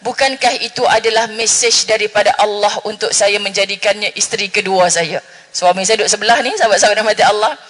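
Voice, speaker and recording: Middle-aged woman preacher with a northern Malaysian Malay accent; bright, animated, playful with the crowd; long hall reverb. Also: medium pitch, fast. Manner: chatty, jokes with the audience, Arabic phrases. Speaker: religious speaker. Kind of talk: lively religious forum talk. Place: mosque hall.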